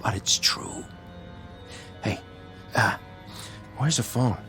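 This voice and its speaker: A man acting in a radio drama as a beaten-up, protective older brother, streetwise and wisecracking, talking in a wry, halting way. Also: mid-range voice, sharp tone, soft background music. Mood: reassuring, earnest.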